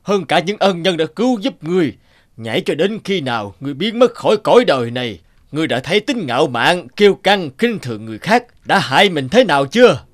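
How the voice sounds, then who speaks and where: Stern, animated old sorcerer. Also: male voice, fairly high pitch, no echo, clean studio sound. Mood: menacing, stern. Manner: slow, theatrical, pronouncing. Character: stern old sorcerer, sly, menacing and condemning. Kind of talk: cartoon fairy-tale dubbing.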